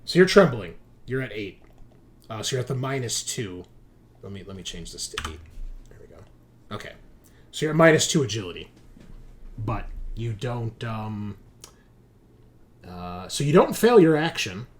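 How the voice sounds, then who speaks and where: A man's voice: fairly low pitch, very animated, moderate pace, long pauses. A man acting as game master, explaining rules and narrating a tabletop roleplaying game in a conversational, explanatory way.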